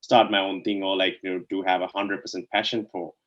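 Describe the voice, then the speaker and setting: A man's voice: low voice, flat delivery, a little fast, a bit bright, dull audio. A man from Sri Lanka, a guest in an interview, answering casually and filling his talk with "like, you know" and "right?". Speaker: guest, DeFi co-founder.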